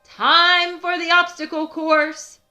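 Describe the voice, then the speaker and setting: A woman voicing a brisk male farm field-day announcer in a children's storybook, calling out loudly. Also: very high-pitched, flat delivery, soft background music, pitched above the performer's own voice, heavily acted. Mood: rousing. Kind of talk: children's storybook read-aloud.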